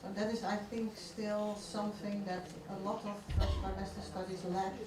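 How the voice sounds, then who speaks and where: A woman moderating a conference session and live scan, calm and measured as she asks probing questions. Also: mid-range voice. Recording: distant mic in an echoey hall with noise.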